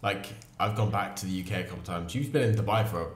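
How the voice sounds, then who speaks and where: A young man in his twenties, co-hosting a podcast with quick, casual talk and swearing. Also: deep voice. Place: small room at a table indoors. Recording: roomy with faint background hiss.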